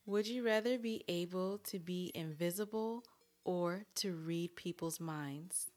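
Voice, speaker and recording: A woman's voice: fairly low pitch, a little slow, a little mellow. A woman from California with an American accent, hosting a casual podcast with playful, teasing banter. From California, United States. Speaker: podcast host asking questions.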